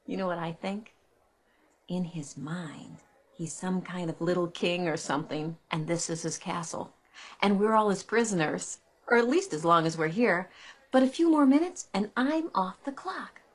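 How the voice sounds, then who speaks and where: An actress playing a kindly, put-upon female department store clerk in a radio drama, talking in a halting, confiding, conversational way. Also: lowish voice, close to an everyday voice. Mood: confiding, resigned.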